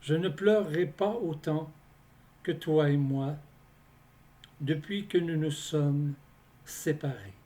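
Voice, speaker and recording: A man reciting his poems in a scripted, deliberate delivery, phrase by phrase with pauses between them. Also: fairly high pitch, darkish tone. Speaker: poet reading own poems. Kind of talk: slow scripted poetry recitation.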